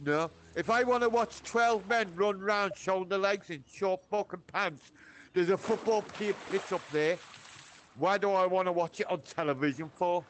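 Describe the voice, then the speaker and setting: A man with a Liverpool Scouse accent, joking loudly and crudely in casual voice chat. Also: sits high, moderate pace. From England. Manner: loud, crude, sweary banter. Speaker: player in a game stream. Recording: voice chat with background noise.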